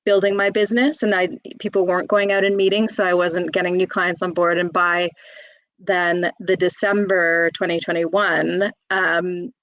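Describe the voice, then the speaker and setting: A woman in her thirties with a lowish voice, speaking as a guest in an interview. She talks candidly and chattily, with many fillers and restarts, and sounds close and dry with no room echo. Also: fairly bright tone. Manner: open, chatty, frequent fillers and self-restarts. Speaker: guest, self-employed employment solicitor.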